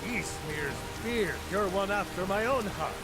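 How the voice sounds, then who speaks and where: Merry roadside drinker for a fantasy game: high voice, effusive and friendly, music playing loudly. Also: male voice, animated, a little slow. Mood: merry, hearty. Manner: hearty, jovial, effusive. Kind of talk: video game character acting.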